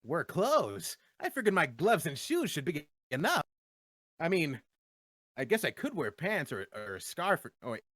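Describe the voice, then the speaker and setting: A breezy young cartoon hedgehog hero, played by a woman in a low register. The delivery is casual and offhand, with very animated swings in pitch. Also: normal speed, no echo, clean studio sound, heavily acted. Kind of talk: fan comic dub Q&A in character.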